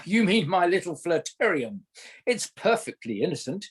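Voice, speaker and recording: A man plays a teasing gentleman satirist in a radio play. The voice is husky and swings theatrically in pitch, with a droll, needling manner. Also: sing-song, normal speed, exaggerated voice. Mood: sly, needling.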